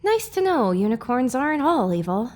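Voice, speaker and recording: A high, very animated young mare played by a woman in an audio drama. She is a blunt, sardonic pony with a wry streak, recorded close and dry. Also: normal speed, no room sound. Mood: wry, sleepy. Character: young female unicorn pony, sardonic, not a morning person.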